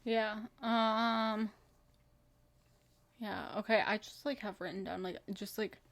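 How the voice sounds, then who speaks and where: A woman's voice: medium pitch, steady tone, takes it slowly. A young woman in her twenties who grew up in Kenya, hosting a casual chat podcast and rambling with lots of fillers and giggles. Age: 20s. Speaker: podcast host.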